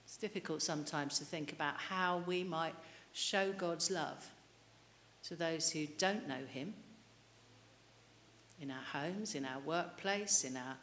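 A woman's voice: low voice, unhurried, fairly bright tone. A middle-aged woman preaching a sermon at a church lectern mic, earnestly putting questions to the congregation. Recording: reverberant church hall, light hiss.

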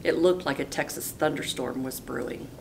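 A woman teacher reading a picture book aloud in a folksy, animated storytelling way. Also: moderate pace, creaky. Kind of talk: expressive storybook read-aloud. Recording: noisy with low rumble.

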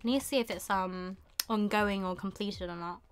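A young woman hosting a casual live stream, thinking aloud in an unhurried way with murmured asides. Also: mid-range voice, fairly even intonation. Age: young adult. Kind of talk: casual chat while shopping online. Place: small indoor room with bookshelves.

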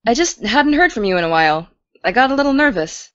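A woman's voice: on the high side, very animated, fast, fairly bright tone, dry recording, dull audio. Anxious, caring teenage girl played by a woman, dry sound. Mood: worried. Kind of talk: naturalistic audio drama dialogue.

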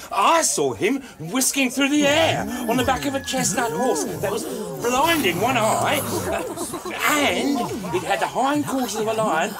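An excitable townsman spinning tall tales in an audio drama. His voice is high and swooping, emphatic and insistent, with a loud crowd behind him. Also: unhurried. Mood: excited. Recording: busy crowd noise behind.

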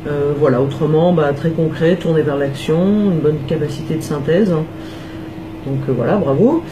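A woman sits in an office meeting room and gives a thesis defense its verdict. Her speech is polite, formal and full of hesitant fillers. Clear background noise sits under her voice. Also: deep voice, a little slow. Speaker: jury member. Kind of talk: giving formal feedback.

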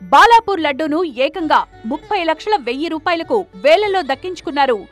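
A woman's voice: high-pitched, very animated, a little fast, fairly bright tone. A woman reading scripted news narration as a reporter. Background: background music.

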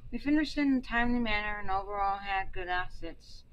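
A woman's voice: medium pitch, brisk, dull audio. A young woman leading a student team, reading slides aloud with hesitant fillers and restarts. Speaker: team leader presenting a project. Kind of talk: reading a class presentation. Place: indoor room.

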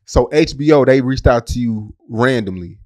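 Male interviewer, medium pitch, informal and slangy, putting questions to a guest. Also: normal speed, darkish tone.

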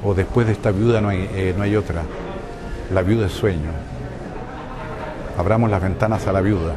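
Low-voiced Chilean man in his sixties. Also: fairly even intonation, normal speed, noisy background. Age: 60s. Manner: playful, rambling, with many hesitations. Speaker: interviewee, filmmaker. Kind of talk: relaxed interview conversation. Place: outdoor balcony above a city.

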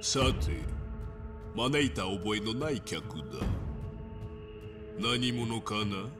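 A composed crime boss speaks with cold, condescending politeness. His voice sits low and unhurried, each line drawn out. Music plays under the scene. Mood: cool, mocking. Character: calm menacing bald crime boss. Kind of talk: video game cutscene acting.

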